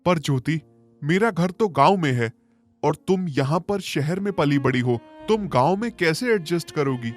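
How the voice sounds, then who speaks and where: A man voicing an earnest, worried educated young village son in an animated moral story. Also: on the high side, normal speed, soft background music, natural voice. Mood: concerned, devoted.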